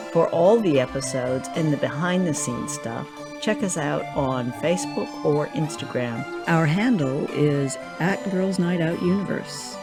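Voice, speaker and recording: A woman reading scripted narration and credits as the narrator of an audio drama podcast. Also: low voice. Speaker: narrator, writer and director. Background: music.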